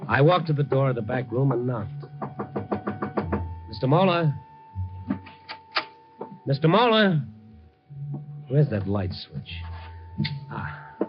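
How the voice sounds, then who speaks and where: An actor with an American accent playing a wry, streetwise reporter, narrating in punchy 1950s radio drama style. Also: male voice, medium pitch, natural voice. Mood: wary. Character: brisk, wry, streetwise male newspaper reporter. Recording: muffled vintage 1950 radio recording.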